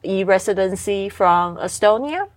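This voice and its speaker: A woman's voice: medium pitch, expressive, a little slow, no echo. Chatty woman, native Mandarin speaker. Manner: candid and chatty, with frequent fillers and restarts. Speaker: podcast host. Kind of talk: casual conversational interview.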